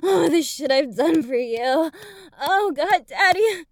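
A woman's voice: high voice, expressive, slow pace, a little hiss or hum, full character voice. A woman playing an overwrought, conflicted adult bride in an explicit audio roleplay, with breathless, moaning delivery. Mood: anguished, pleading.

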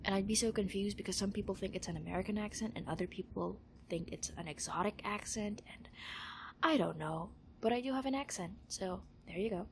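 A woman's voice: medium pitch, brisk, slight background noise. A woman from the Philippines with a Filipino accent, talking in a playful, informal, self-aware way as she chats and reads aloud. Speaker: amateur audio recorder doing an accent challenge. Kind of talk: casual chat, reading questions and word lists aloud.

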